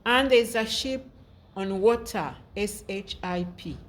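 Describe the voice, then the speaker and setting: A middle-aged woman teacher, giving a slow and patient lesson to young children and spelling words out letter by letter. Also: mid-range voice. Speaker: primary school teacher.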